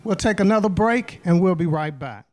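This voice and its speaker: A man co-hosting a local TV show, delivering links in a brisk, upbeat way. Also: high voice, expressive, a little mellow. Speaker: TV show co-host.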